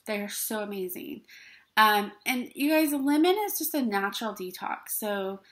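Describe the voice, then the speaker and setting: A woman's voice: mid-range voice, lively intonation, moderate pace, a bit bright. A middle-aged woman vlogging to camera from a small dim room, chatty and bubbly, often checking in with "okay?". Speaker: essential oils vlogger. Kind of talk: casual talk to camera.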